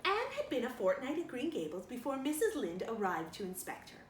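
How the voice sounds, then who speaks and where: Female storybook narrator, higher-pitched and very lively, recorded in an echoey room. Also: a little fast. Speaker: storybook reader. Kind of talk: expressive scripted audiobook narration. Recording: roomy, distant mic, faint noise.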